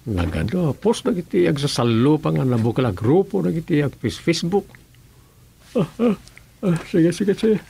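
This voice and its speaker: An actor playing an opinionated, slightly defensive village man with an Ilocano accent and a gravelly, husky voice in a comedy radio drama. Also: a little high, big pitch swings, normal speed, mellow, full character voice. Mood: sheepish, defensive.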